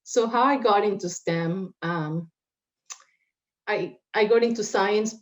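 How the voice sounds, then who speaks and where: A woman's voice: medium pitch, slow pace, poor audio. A woman from Puerto Rico in her forties, introducing herself to students in a friendly, encouraging way with some ums. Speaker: panelist.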